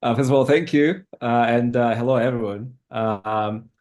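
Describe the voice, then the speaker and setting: A man from Zimbabwe in his thirties, a podcast guest who talks in a hesitant, reflective way with many pauses. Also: mid-range voice, a little mellow. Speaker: interview guest. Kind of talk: casual interview talk.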